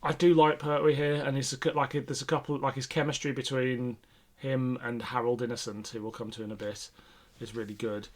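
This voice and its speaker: A man's voice: mid-range voice, level tone, a little fast. A man co-hosting a podcast, talking casually with opinionated, sweary remarks in a review chat. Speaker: podcast co-host.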